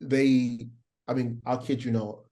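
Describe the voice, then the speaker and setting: A man's voice: medium pitch, little pitch movement, unhurried, mellow. A middle-aged man with a Nigerian accent and a faint American twang, a guest chatting casually and rambling with plenty of fillers and restarts. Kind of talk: casual interview chat.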